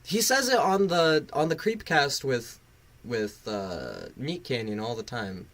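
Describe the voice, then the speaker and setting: A man's voice: medium pitch, big pitch swings, a little slow, a bit bright. A man hosting a casual live reaction stream, rambling and swearing, bluntly critical with a sarcastic, self-deprecating streak. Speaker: livestream host and reviewer.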